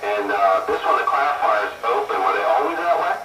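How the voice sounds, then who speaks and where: A man's voice: lowish voice, expressive, fairly quick, loud music behind the voice. Man chatting over roomy, distant CB radio. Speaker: hobbyist CB radio operator. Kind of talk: casual two-way radio chat.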